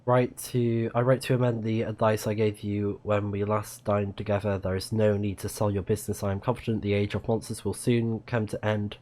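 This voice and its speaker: Low-voiced man streaming a video game. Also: little pitch movement, moderate pace, hardly any pauses, darkish tone. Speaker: let's play streamer. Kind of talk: casual game commentary, reading game text aloud.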